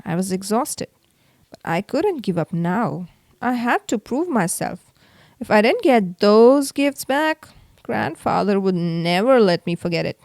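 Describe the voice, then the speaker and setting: A woman reading a children's story aloud in an expressive, theatrical way. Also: medium pitch, unhurried, dry recording. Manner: theatrical, acts out the exclamations. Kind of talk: expressive read-aloud narration for kids.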